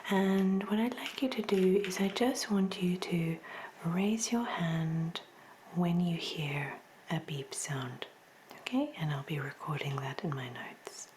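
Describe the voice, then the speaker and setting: A woman speaking in a soft, breathy near-whisper, gently reassuring, as an examiner in an ASMR medical roleplay. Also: lowish voice, takes it slowly, background noise. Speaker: ASMR performer playing a hearing examiner.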